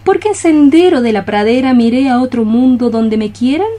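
Woman doing young romantic dreamer bug girl, really high voice, plaintive poetic radio theatre. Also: moderate pace, warm tone, lightly acted. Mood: anguished. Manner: lyrical, plaintive, declamatory. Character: lovesick young maiden cockroach, melancholy, romantic dreamer.

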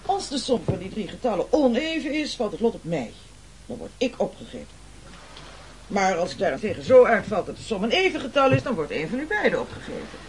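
A man playing a domineering, formal, coolly calculating castaway gentleman in a radio play, declaiming pompously. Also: high-pitched, big pitch swings, a little fast. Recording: noisy old radio drama recording.

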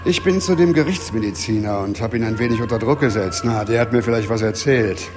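A man acting an embittered, unyielding investigator in a radio crime drama, speaking deliberately and with emphasis. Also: on the low side, music in the background, natural voice. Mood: bitter, confiding. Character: embittered, dogged investigator, persecuted but unyielding.

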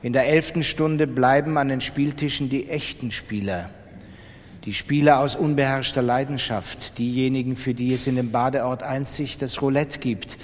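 A man's voice: medium pitch, steady tone, moderate pace. A man with a standard German accent reading literary prose as a dramatic radio narration. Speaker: literary reader in a radio production. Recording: studio reading with long reverb.

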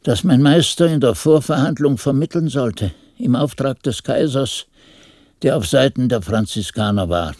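An actor playing an anxious monk chronicler in a radio play, musing to himself as he recalls his novice days in a husky, mellow voice. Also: mid-range voice, animated, moderate pace, no room sound, clean studio sound. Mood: troubled, searching.

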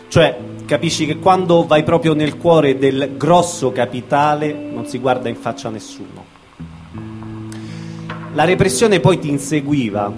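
A man speaking as a guest reader at a stage event, presenting a testimony aloud to an audience. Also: fairly high pitch. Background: guitar music.

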